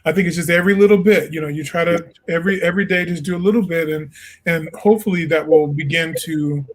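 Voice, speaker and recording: A man's voice: a little high, fast. A man from Illinois, a jazz singer and interview guest, talking earnestly and reflectively. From Illinois, USA. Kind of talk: casual interview talk.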